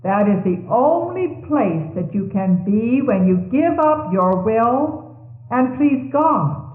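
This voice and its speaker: A woman reading religious messages aloud in a solemn, measured and formal manner, on a 1970s tape. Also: fairly high pitch, animated. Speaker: religious reader. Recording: 1970s tape, thin phone-like band, echoey room.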